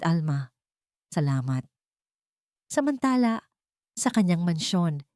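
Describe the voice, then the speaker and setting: A woman narrates a scripted drama story in a low-pitched voice. The sound is dry and close, with no room around it. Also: darkish tone, no room sound.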